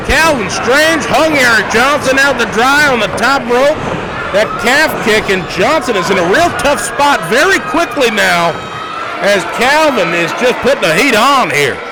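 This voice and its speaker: A man calling a live wrestling match play-by-play, excitable and dramatic, with colloquial catchphrases. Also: very high voice, measured pace. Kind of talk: live sports commentary. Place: indoor arena at a live wrestling event. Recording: loud crowd and noise in arena. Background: loud live crowd.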